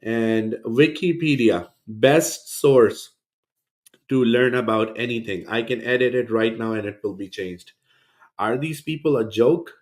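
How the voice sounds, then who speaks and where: A man's voice: mid-range voice, a little slow, a little mellow. A man hosting a reaction video, rebutting a podcast clip in a scornful, sarcastic tone. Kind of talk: reaction commentary.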